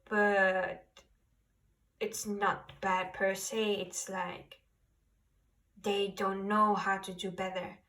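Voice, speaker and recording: A woman who is a non-native English speaker, giving a spiritual tarot card reading in a halting way, searching for words. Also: mid-range voice, sharp tone, recorded from a distance. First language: not English.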